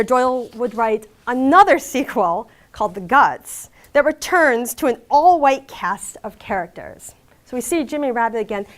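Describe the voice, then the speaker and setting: A woman delivering a university lecture on fiction and drama. Her voice sits fairly high and moves a great deal in pitch, rising to stress key phrases. She reads from a prepared text in a measured, emphatic way, with the odd "um" along the way. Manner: measured, emphatic reading with occasional fillers. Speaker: academic lecturer. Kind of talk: reading a prepared academic lecture. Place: lecture hall.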